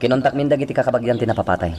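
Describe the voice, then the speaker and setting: A man's voice: medium pitch, rapid-fire, darkish tone, slight background noise, close to an everyday voice. A man acting an official, authoritative police chief in a radio drama. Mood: formal, reporting. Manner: formal, authoritative.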